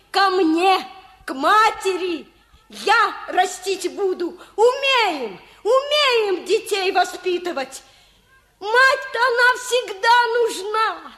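An actress playing an excitable, doting older village mother in a Soviet radio play, folksy and exclamatory. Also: extremely high pitch, heavily acted. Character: Olga Petrovna, excitable, doting village mother soon a grandmother. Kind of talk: Soviet radio theatre acting. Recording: long reverberant hall, archival stage play.

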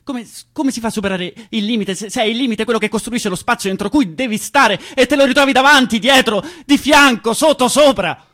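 A man acting a husky, anxious, stammering self-doubter. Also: very high voice. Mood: frantic, heated. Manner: halting, stammering, repeating words; swings between breathy whispers and loud outbursts. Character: anxious, self-doubting man confessing his own limits. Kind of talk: theatrical radio monologue.

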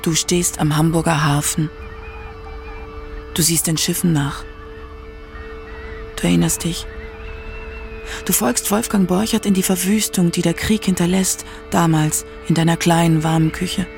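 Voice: mid-range voice, steady tone, measured pace, vocal fry, long pauses. A narrator reading scripted documentary narration for a radio feature. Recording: music behind the voice. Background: instrumental music.

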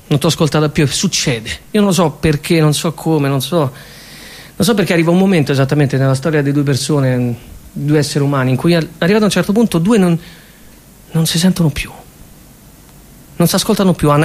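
A man playing a rueful man who speaks haltingly to his partner in a stage piece. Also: fairly high pitch, pitched below the performer's own voice, close to an everyday voice. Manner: halting, hesitant, soft.

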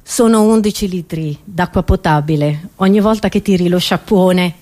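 A woman's voice: on the low side, normal speed, a little mellow, a little hiss or hum. A woman comedian, delivering a satirical monologue in a theatrical, exclamatory way, speaking straight to her listeners.

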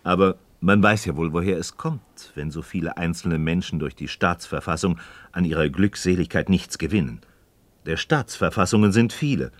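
An actor playing a sceptical, curious friend in a philosophical radio play, reflective and expressive, wary and questioning. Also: male voice, low-pitched, normal speed, dry recording, close to an everyday voice. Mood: reasoning, engaged. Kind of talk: German radio play dialogue acting.

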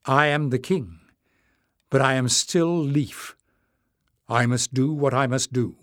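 A man's voice: medium pitch, no room sound, studio quality, natural voice. A man voicing an earnest, resolute young king in an audiobook, speaking firmly. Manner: firm, measured.

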